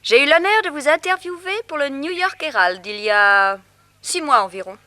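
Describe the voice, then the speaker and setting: A woman's voice: high voice, expressive, normal speed, pushed and bright. An actress playing a chatty young woman journalist, cheerful, affectionate and teasing, in a vintage radio drama. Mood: cheerful, sociable. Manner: vivacious, teasing.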